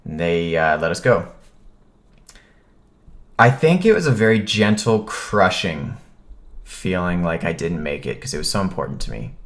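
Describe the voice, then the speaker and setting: A man with a low-pitched voice tells a personal story in a casual vlog style. He speaks informally, as if to viewers, and retells what people said in an animated way. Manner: animated retelling, acts out quoted remarks. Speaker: vlogger telling a personal story.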